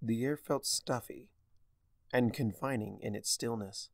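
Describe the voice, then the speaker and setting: A man reading dramatic scripted story narration in an expressive, deliberate manner. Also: mid-range voice, creaky, no echo. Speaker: narrator of fan fiction.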